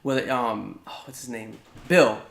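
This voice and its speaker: A man's voice: mid-range voice, measured pace, gravelly, roomy sound. A man hosting a casual gaming podcast, rambling and restarting his sentences. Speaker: podcast host. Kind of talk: casual chat.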